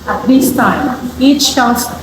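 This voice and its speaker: A woman's voice: high-pitched, slow. A young woman with a Filipino accent playing a composed, formal judge in an amateur courtroom play, with heavy echo. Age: young adult. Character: formal, composed female judge presiding over a trial. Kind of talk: amateur stage courtroom drama, scripted delivery. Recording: very echoey room, music behind.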